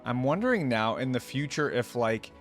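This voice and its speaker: A man's voice: mid-range voice, sing-song, normal speed, soft background music. A man hosting a reaction video with casual, rambling commentary, thinking aloud in an informal way.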